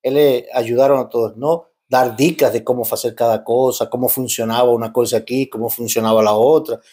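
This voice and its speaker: A man's voice: mid-range voice, steady tone, brisk, warm tone. A man in his fifties from Cuba with a Cuban accent, telling his story as an interview guest in a blend of Spanish and Portuguese with many restarts and tag questions. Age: 50s. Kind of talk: casual interview talk.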